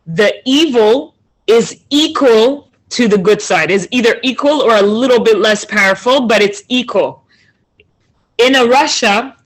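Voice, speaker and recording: A woman's voice: mid-range voice, fairly bright tone, low-quality recording. Woman teacher, online scripture lesson, reads a line then explains it, conversational, keeps asking "okay?". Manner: conversational, with restarts and checks of "okay?". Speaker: Torah class teacher. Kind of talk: online text class, reading and explaining. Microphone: video call.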